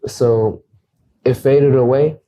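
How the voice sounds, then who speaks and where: A man's voice: mid-range voice, takes it slowly, dark tone. A young man whose first language is Acateco, speaking earnestly as a guest realtor in a casual interview and often repeating words as he starts a thought. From United States.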